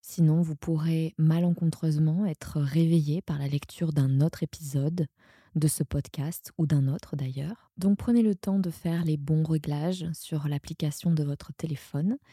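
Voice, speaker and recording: A woman guiding a sleep meditation in a soothing, hushed, breathy manner that often nears a whisper. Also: sits low, steady tone, a little slow, no echo, clean studio sound. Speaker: yoga nidra guide and podcast host.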